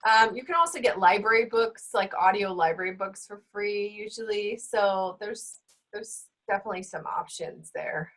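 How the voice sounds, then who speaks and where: A woman hosting a book club, a bit hoarse from being sick, chatting casually with lots of fillers. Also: mid-range voice, lively intonation, normal speed, fairly bright tone. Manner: chatty, with frequent fillers and laughs. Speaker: fitness coach and book club host.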